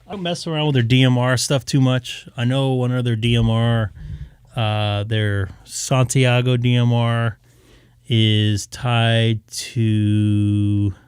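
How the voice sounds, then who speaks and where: Man hosting his radio stream, smooth voice, dry close sound, worn out and rambling. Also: medium pitch, fairly even intonation, slow, no echo. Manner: tired, loose, chatty with many fillers. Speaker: ham radio live streamer. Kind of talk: casual live stream chat.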